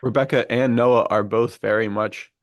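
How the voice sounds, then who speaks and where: A man's voice: mid-range voice, steady tone, moderate pace, no room sound, clean studio sound. A man co-hosting a podcast, talking casually with slangy, laid-back enthusiasm. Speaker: podcast co-host.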